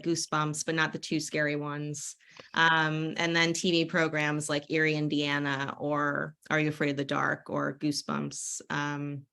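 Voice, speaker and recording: A middle-aged woman with an American accent talks casually about her writing. Her voice sits quite low yet has a clear bright edge. She rambles playfully, slipping into self-deprecating asides. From United States. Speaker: author. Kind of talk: casual author panel talk.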